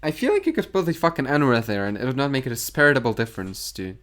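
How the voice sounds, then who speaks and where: Sardonic YouTube commentator, lively pitch. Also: male voice, fast talker. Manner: dry, mocking, deadpan asides. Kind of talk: sarcastic commentary. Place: garage.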